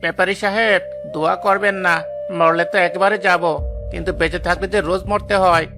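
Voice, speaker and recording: A man's voice: high-pitched, fairly even intonation, brisk, music in the background. Guy doing a poor humble cartoon fisherman, pleading, plaintive, worn down. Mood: bitter, pleading. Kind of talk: dramatised cartoon dialogue.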